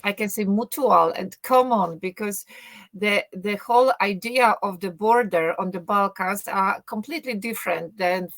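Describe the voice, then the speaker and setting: A woman's voice: mid-range voice, normal speed, a bit bright. Woman, middle-aged, English, hesitant fillers, joking, casual interview guest. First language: Polish. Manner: thinks aloud with many fillers and restarts, joking. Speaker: guest, university teacher. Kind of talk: casual conversational English, non-native.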